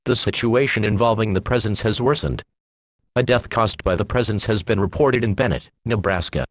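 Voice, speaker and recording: A man reading scripted emergency alerts and weather bulletins as a radio announcer, in a flat, official, impersonal manner. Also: fairly low pitch, normal speed, no room sound.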